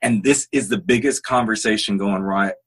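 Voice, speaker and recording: A man's voice: on the low side, normal speed, fairly bright tone, husky. A man in his fifties hosting a podcast, chatty and encouraging with his guest. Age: 50s. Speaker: podcast host. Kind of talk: casual interview chat.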